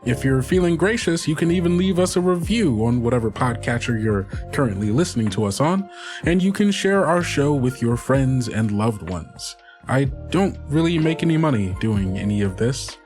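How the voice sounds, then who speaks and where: A man's voice: medium pitch, animated, moderate pace, darkish tone. A man narrating and hosting an actual-play fiction podcast as its game master. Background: music under the voice.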